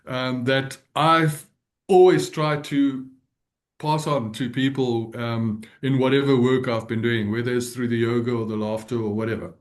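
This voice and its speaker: A man whose first language is Afrikaans, speaking as an interview guest in a gentle, earnest way and laughing often. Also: mid-range voice. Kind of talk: reflective interview talk.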